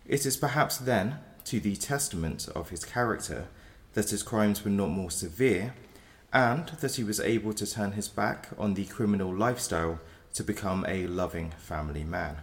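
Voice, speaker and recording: Defence lawyer for a male actor: very low, creaky voice, measured, cautious, earnest. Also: close to an everyday voice. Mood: solemn, pleading. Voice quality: deep, gravelly. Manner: formal, measured advocacy. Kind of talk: audio drama acting.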